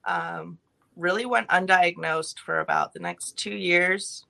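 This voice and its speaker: A young woman from California, a guest on a podcast, recounting her past in a brisk, matter-of-fact manner. Her voice sits a little low yet sounds bright and forceful. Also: steady tone, creaky. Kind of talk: telling her life story in an interview.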